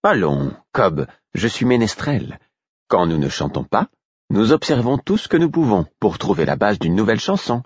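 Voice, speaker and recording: A man raising his husky voice to play a teasing, sharp-tongued woman minstrel in a read-aloud story. She jabs and mocks with quick wit, her lines swinging in pitch. The sound is a little dull and lo-fi. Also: very animated, no echo. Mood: mocking. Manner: mocking, quick-witted.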